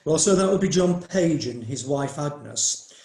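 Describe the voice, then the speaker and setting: A man's voice: on the high side, lively intonation, unhurried, warm tone, echoey room. A man playing a dutiful court clerk who announces names and oaths in a formal, slightly hesitant way. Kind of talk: amateur radio drama.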